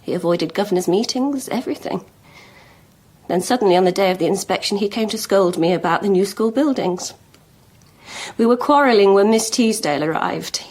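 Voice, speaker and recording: An actress playing a proud, lovelorn schoolmistress in a period radio drama, speaking frankly and deliberately with emotional intensity. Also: female voice, mid-range voice, a little mellow, lightly acted. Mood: bitter, resolute. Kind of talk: BBC radio drama acting.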